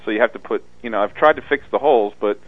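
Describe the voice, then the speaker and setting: A man's voice: lowish voice, racing, over a noisy crowd. A man with a South Philadelphia accent chatting casually as a caller over a muffled phone line. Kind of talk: casual chat.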